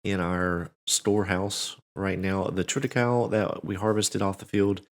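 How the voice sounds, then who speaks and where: A man hosting a farming game playthrough, congested and a bit cracking, talking in a relaxed, rambling way with many fillers and restarts. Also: low-pitched, measured pace. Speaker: let's play host. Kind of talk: casual gameplay commentary.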